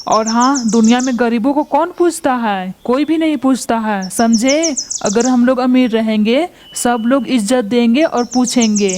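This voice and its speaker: A woman's voice: a little high, a little mellow, a little hiss or hum, lightly acted. A woman voicing a greedy, scheming father crow in a cartoon, talking in a bossy, lecturing way. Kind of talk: cartoon voice acting.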